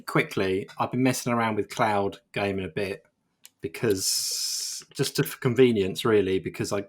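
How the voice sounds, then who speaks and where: Man, video call, halting, restarts often, low voice. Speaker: games journalist, panelist. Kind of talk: casual video call chat.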